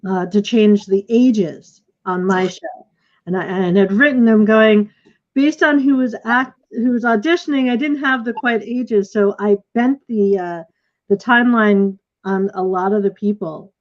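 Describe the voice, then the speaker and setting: A woman hosting a casual panel discussion as a theater director over a video call. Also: medium pitch. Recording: slightly dull lo-fi video call audio.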